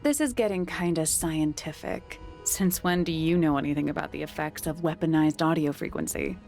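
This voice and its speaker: A woman's voice: sits low, normal speed, creaky, a little mellow. An actress playing a dry, skeptical detective in a video game, with music behind her. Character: skeptical, dry female detective partner questioning theories. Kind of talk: video game voice acting, dialogue. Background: background music.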